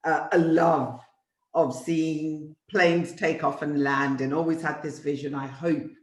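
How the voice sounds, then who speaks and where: A woman in her fifties from Uganda, a podcast guest telling her life story in a measured, reflective way. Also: deep voice, steady tone. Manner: measured, warm storytelling with vivid recollection. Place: temporary home in Vienna. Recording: echoey room sound.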